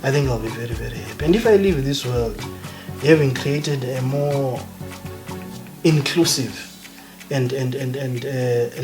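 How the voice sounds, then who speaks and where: Zimbabwean man, emphatic guest speaker, mid pitch, background music. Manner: emphatic, passionate, mixes in Ndebele phrases. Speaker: guest, academic economist. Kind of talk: conversational interview.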